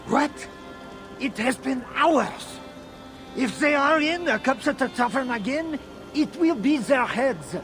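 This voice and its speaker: An actor playing men of a medieval church in a video game cutscene. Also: male voice, very high voice, lively intonation, takes it slowly, over music, full character voice. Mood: angry, impatient.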